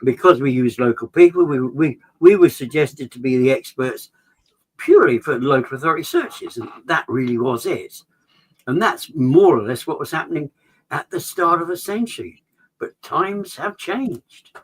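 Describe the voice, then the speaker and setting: Bloke rambling through an explanation, lots of restarts and sort-ofs, voice jumps up for emphasis. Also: male voice, a little high, lively intonation, moderate pace, slightly rough, rough recording. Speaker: association chair, regular guest. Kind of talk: conversational explanation.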